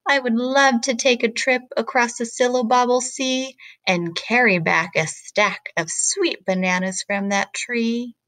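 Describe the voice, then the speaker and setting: A woman's voice: fairly high pitch, fairly even intonation, unhurried, few pauses, fairly bright tone, no echo. A woman voicing a clever, sly little female monkey from a storybook, with bold sing-song rhyming delivery. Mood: longing. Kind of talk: storybook character reading.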